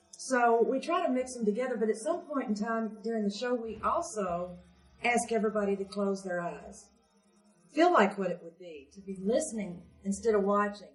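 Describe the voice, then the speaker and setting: A woman's voice: medium pitch, moderate pace. A woman, speaking in a folksy, conversational interview style as a member of a community theatre troupe. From Jessamine County, Kentucky. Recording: roomy echo, distant microphone.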